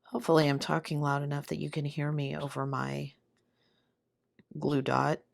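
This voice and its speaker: Low, gravelly woman with a sick, failing voice. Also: level tone, normal speed. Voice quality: hoarse, low voice. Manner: murmuring to herself while working. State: sick, voice giving out. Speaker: craft video host. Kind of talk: casual chat while doing a craft.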